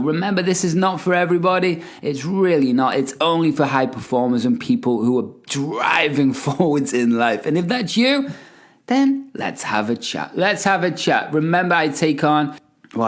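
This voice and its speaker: A man hosting a podcast, talking with enthusiastic, hype-driven energy and calling his guest "mate". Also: medium pitch. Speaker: podcast host. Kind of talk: energetic podcast intro and interview.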